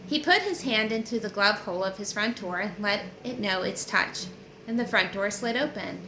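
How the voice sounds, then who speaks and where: A woman's voice: mid-range voice, expressive, moderate pace. A woman reading a novel aloud as narrator, in a storytelling audiobook style. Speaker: book reader. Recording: roomy echo with clear background noise.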